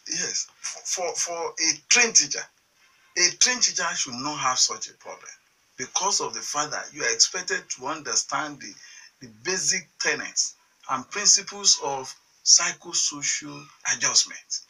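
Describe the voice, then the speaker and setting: Nigerian male guest, earnest and halting, bright husky tone, slightly distant. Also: on the high side, expressive. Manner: halting, many restarts and fillers, earnest. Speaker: science teachers association chairman, guest. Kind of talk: studio TV interview answers.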